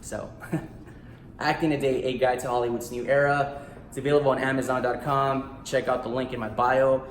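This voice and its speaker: Picture a man in his thirties who grew up speaking Spanish, chatting straight to camera in a loose, heartfelt way. His voice sits at an ordinary middle pitch. The room around him rings with a noticeable echo, and a faint hiss lies underneath. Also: level tone, moderate pace. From United States. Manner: eager and heartfelt, full of "like" and "you know". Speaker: actor and author. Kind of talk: casual self-promo vlog. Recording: roomy echo in a small room.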